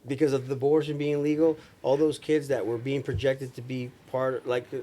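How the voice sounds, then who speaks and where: A man's voice: mid-range voice, little pitch movement, a little fast, dark tone. A man chatting casually and slangily, often dropping in "like" and "you know". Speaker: podcast guest, recording studio engineer. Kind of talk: casual chat. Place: small room with couches, indoors.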